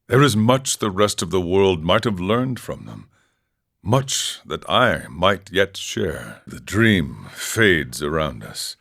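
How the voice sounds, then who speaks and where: A man voicing an older, serene and wry wandering ascetic monk in a video game, speaking in a solemn, measured, philosophical way. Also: low-pitched, very animated, creaky, a bit bright, heavily acted. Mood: solemn, wistful. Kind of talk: scripted game character dialogue.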